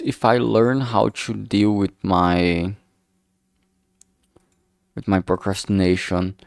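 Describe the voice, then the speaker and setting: Slow, gravelly man rambling casually. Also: medium pitch, darkish tone, dry recording, clean studio sound. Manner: halting, rambling, trailing off mid-sentence; frank, swears freely. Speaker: solo streamer developing a visual novel. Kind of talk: casual work-along stream monologue.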